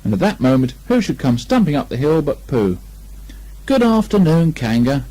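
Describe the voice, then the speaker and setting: A man's voice: medium pitch, big pitch swings, fairly quick, dark tone, breathy edge. A man narrating a children's storybook from a script. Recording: lo-fi amateur 1980 speech recording.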